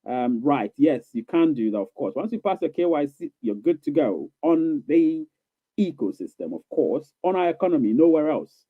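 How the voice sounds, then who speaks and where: A man chatting on a live stream, his voice pitched a little high and sounding thin and tinny, like it is coming through a phone. The sound is dry, with no room around it. He talks in a loose, rambling way, repeating himself and reassuring listeners. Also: expressive, moderate pace, dry recording. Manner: reassuring, coaxing. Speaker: livestream host reading viewer questions. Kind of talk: casual live-stream chat.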